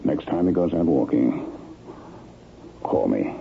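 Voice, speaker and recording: An actor playing a cold, smooth lover calmly plotting a murder in a radio drama. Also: male voice, extremely low pitch, slow pace, phone-quality sound, heavily acted. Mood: cold, calculating. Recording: thin band-limited old radio recording, noisy.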